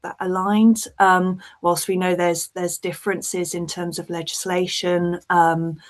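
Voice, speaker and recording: A woman speaking as a principal social worker on a webinar panel, earnest and measured as she explains, over a video call. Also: fairly low pitch, flat delivery.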